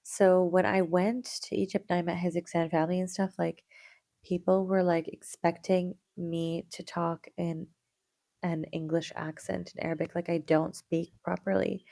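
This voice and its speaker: A young woman co-hosting a podcast, her voice a little low with a gravelly, fry-tinged texture. She talks in a chatty, storytelling way, speeding up when she gets excited. The sound is close and dry. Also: normal speed, no echo. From Canada. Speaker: podcast co-host. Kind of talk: casual chat.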